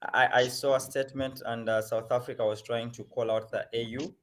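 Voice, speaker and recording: A man's voice: medium pitch, level tone, a little fast, slight background noise. A man hosting an online panel discussion, who stammers at the start of his sentences. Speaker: host.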